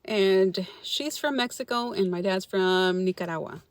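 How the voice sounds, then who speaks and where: A middle-aged woman from Los Angeles chats loosely with her viewers, answering them by name. She laughs often, and her pitch sits in the middle range for a woman. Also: a little slow, dry recording. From Los Angeles, California. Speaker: frugal-living channel host reading viewer comments. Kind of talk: casual livestream chat.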